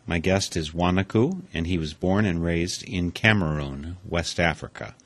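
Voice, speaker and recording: A man hosting a radio interview, reading a smooth scripted introduction and then asking plain questions. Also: low-pitched, normal speed. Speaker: radio host.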